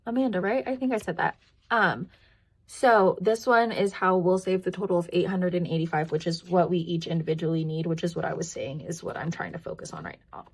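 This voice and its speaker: A woman vlogger talking casually and thinking aloud as she works, her voice croaky and tired from long talking. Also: on the low side, a little fast, poor audio. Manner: rambling, thinking aloud, counting to herself. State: voice tiring after long filming. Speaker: budgeting vlogger. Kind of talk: casual chatty hands-on narration.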